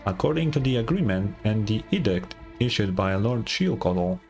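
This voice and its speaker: A man voicing a huge ancient dragon robot record-keeper from a game, formal, measured and helpful. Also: on the low side, big pitch swings, creaky, a little mellow, soft background music. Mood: polite, matter-of-fact. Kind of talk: reading game dialogue aloud.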